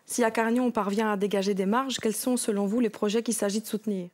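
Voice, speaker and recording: A journalist moderating a televised debate in a measured, polite way. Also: female voice, medium pitch, fairly even intonation, darkish tone.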